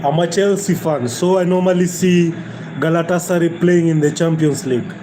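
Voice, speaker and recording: A man's voice: a little high, fairly even intonation, normal speed. Laid-back man chatting, noisy background. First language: Kikuyu. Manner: laid-back, repeats himself, says "bro". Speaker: watch-along streamer. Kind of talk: casual chat.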